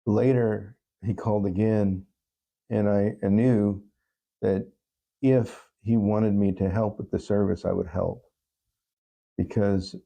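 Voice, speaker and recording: Deep, gravelly, mellow-voiced man. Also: steady tone, slow pace, long pauses. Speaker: talk show guest. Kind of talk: reflective interview answers.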